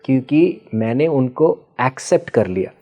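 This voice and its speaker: Male expert guest, warm low-key timbre, teacherly and patient, code-switches into English. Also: lively intonation, normal speed, faint music behind. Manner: patient teacherly explaining with frequent checking questions; mixes Hindi with English terms. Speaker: guest expert on ancestral healing. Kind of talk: explanatory interview answers.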